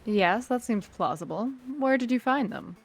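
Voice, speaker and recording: A woman playing a cool, suspicious crime syndicate boss, speaking formally and with measured skepticism in a sci-fi table read. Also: on the high side, animated.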